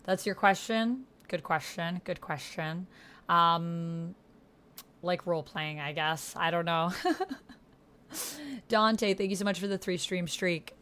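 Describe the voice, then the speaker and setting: A woman's voice: fairly low pitch, lively intonation, slow pace, long pauses, fairly bright tone. A woman streamer talking informally and thinking aloud as she chats with her viewers and plays a text game. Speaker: streamer and tabletop game player. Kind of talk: casual livestream chat, reading out text chat.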